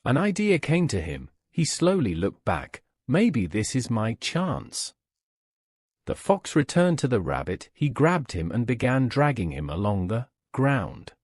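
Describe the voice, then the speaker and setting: A man's voice: mid-range voice, sing-song, normal speed, few pauses, a little mellow, no echo, studio quality. A man narrating a scripted moral story as a storyteller.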